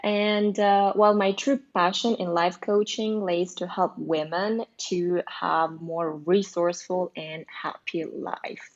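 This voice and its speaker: A woman from Russia, speaking English with Russian as her first language, guests on a video call as a life coach. Her voice sits on the low side, and it comes through a dull, lo-fi line. She talks with some hesitation and restarts. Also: fairly even intonation, slow, few pauses. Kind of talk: casual video call chat.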